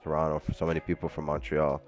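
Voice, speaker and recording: A man's voice: rumbling low, completely flat delivery, fast, highs cut off, soft background music. A man from Brooklyn, New York, hosting a live trading stream and rambling casually with viewers and callers, saying "like" a lot. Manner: rambling, chatty, says "like" often. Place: home, living in Miami.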